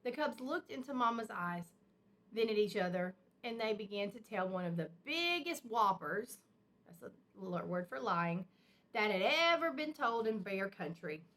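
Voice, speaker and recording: Bright-voiced woman reading a storybook. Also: medium pitch, lively intonation, moderate pace. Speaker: teacher reading aloud to children. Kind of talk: expressive storybook read-aloud with simple asides.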